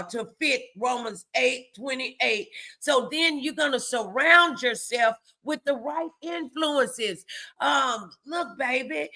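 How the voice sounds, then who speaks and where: A woman's voice: sits high, bright, forceful tone. A woman hosting a faith-based motivational livestream, speaking emphatically and repeating key phrases to exhort her listeners.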